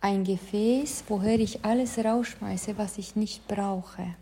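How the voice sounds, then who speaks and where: A woman who teaches meditation explains her classes in careful, measured speech, as a non-native speaker of the language. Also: medium pitch, fairly even intonation, warm tone, a little hiss or hum. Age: adult, past her teens. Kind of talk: calm spoken introduction to meditation.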